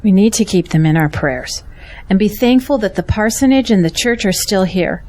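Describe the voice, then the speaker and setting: Woman playing a gentle, devout churchwoman. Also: mid-range voice, expressive, normal speed, natural voice. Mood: reassuring. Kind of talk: audio drama acting.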